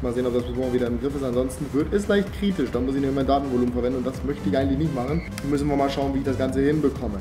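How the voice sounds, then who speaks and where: Young man, slight cold, fast talker, slangy upbeat vlog chat, music in the background. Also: medium pitch. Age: young adult. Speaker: vlogger. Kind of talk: casual chat to camera. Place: outdoors on residential streets and indoors in a living room. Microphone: phone or camera at arm's length.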